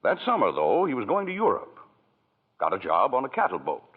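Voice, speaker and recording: A man's voice: mid-range voice, huge pitch swings, a little fast, husky, phone-quality sound. An actor playing a patient senior male angel who explains the story, in 1940s radio acting, on a scratchy 1940s radio broadcast. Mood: calm.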